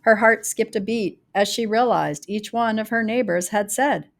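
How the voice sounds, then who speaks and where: A woman reads a children's picture book in rhyme. Her pitch sits in the middle for a woman. She tells it expressively and deliberately, leaning on key words for dramatic effect. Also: vocal fry. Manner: dramatic, deliberate storytelling with varied emphasis. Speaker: storybook reader.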